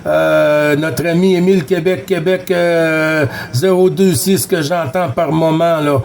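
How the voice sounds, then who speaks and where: A man's voice: on the high side, steady tone, slow pace, vocal fry, darkish tone. A man with a Quebec French accent working a CB radio contact round, courteous, repeating words and callsigns. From Quebec, Canada. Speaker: amateur CB radio operator running a contact round.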